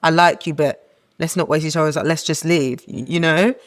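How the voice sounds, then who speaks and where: A young woman with a low voice she calls masculine, a guest giving earnest, encouraging advice in a casual podcast chat. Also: fairly even intonation, brisk.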